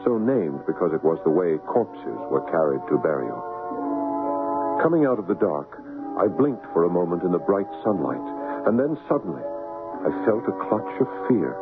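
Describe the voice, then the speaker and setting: An actor playing an uneasy American husband in a radio drama, expressive and a little hesitant. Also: male voice, low-pitched, normal speed. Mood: tense, foreboding. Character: uneasy American husband, narrating his own eerie tale. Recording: muffled, tinny 1970s radio, music behind.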